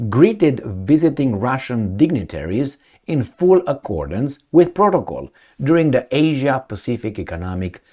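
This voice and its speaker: Sarcastic, lively-pitched man reading the news. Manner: sarcastic, stumbling over words and restarting. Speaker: political commentator. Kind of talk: reading a news article aloud with sarcastic asides.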